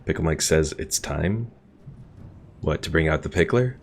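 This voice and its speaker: A man's voice: very deep voice, lively intonation, moderate pace, creaky, a little hiss or hum. A man streaming a strategy game, chatting casually and self-deprecatingly about his battles and answering his chat. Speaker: gaming streamer. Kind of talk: casual gameplay commentary.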